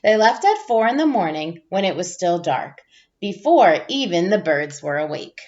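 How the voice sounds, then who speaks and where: A woman's voice: lowish voice, very animated, moderate pace, a little mellow. Woman reading a storybook, slightly muffled. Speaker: teacher reading aloud to pupils. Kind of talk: storybook read-aloud.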